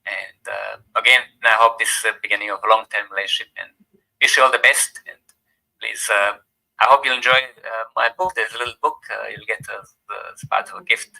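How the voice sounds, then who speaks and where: Older Croatian-accented man, low voice, very bright tone, halting thank-you speech. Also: fairly even intonation, vocal fry, rough recording. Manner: halting, repeats words, warm and gracious. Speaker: speaker giving vote of thanks. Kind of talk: formal closing remarks at an event.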